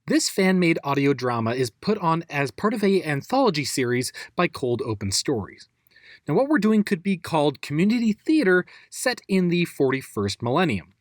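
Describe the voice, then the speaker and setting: Male host, scripted outro, higher-pitched and animated, dry close sound. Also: no echo. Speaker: host announcing credits. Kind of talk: friendly scripted outro announcement.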